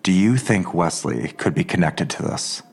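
An actor playing a menacing, suspicious police detective in an audio drama, pressing with pointed, accusatory questions. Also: male voice, deep voice, lively intonation, moderate pace, gravelly, breathy edge, full character voice.

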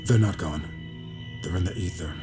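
A man playing a grave mystic in an audio drama, speaking in solemn, measured, cryptic phrases. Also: deep voice, close to an everyday voice. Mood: solemn, mysterious. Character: grave mystic man explaining an ancient blood ritual. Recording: dramatic music behind the voice.

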